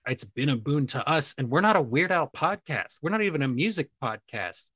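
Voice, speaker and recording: A man's voice: fairly high pitch, animated, fairly quick, fairly bright tone, breathy edge, dry recording, muffled recording. Earnest man co-hosting a podcast. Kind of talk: casual podcast chat.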